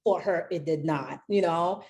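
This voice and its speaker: A woman's voice: on the low side, a little slow, darkish tone. A young woman from Haiti, a company founder, chatting as a podcast guest and rambling with many fillers and restarts. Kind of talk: casual interview chat.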